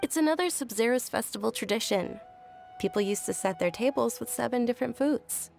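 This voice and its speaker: A higher-voiced, gracious young lady. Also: brisk, creaky. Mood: gentle, informative. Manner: polite, softly explanatory. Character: gentle, gracious young noblewoman, frail yet cheerful and devout. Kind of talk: video game character acting. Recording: music behind the voice.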